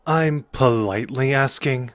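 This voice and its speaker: A man's voice: medium pitch, a little slow, dry recording, natural voice. A man playing a cocky, protective sorcerer and sarcastic rival in an anime audio roleplay, informal and sardonic with an earnest core. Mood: scornful.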